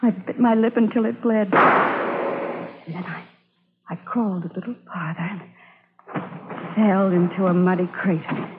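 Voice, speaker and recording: An actress playing a brave young woman spy in an old-time radio drama, speaking in a solemn, dramatic, halting way. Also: lowish voice, phone-quality sound, background noise, natural voice. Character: brave young woman spy narrating her wartime ordeal. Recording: thin vintage radio recording, music behind.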